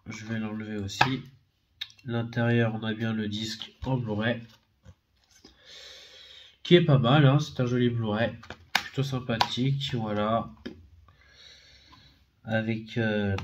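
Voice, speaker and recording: A man with a cold chats about his discs in a middle-pitched voice, stumbling over fillers and correcting himself as he goes. The recording is slightly muffled and lo-fi. The overall feel is relaxed and unpolished. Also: a little hiss or hum. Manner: rambling, hesitant with many fillers and self-corrections. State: has a head cold. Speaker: DVD and Blu-ray collector. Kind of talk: casual unboxing video.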